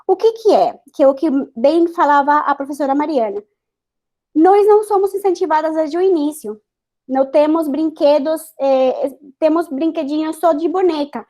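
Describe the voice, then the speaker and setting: A woman's voice: sits high, moderate pace, mellow. A woman with a Spanish accent, Spanish being her first language, speaking earnestly as a scientist guest on an online panel, with many hesitations and restarts. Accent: Spanish-accented Portuguese. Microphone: video call.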